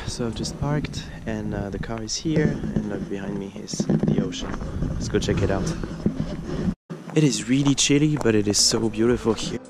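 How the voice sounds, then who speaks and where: A man's voice: fairly low pitch, moderate pace, heavy background noise. Easygoing young man filming a road trip. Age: young adult. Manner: chatty, easygoing, thinking aloud with fillers. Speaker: travel vlogger. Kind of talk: casual road trip vlog. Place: inside a car while driving; motel room, small, indoors. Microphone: camera mounted on the dashboard.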